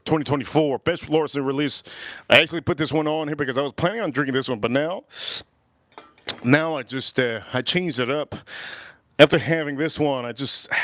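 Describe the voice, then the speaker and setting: A man's voice: mid-range voice, a bit bright, no echo, studio quality. A man reviewing whiskey on a casual livestream, enthusiastic and repeating his phrases, with sighs and exclamations between sips. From United States. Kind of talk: casual livestream tasting chat.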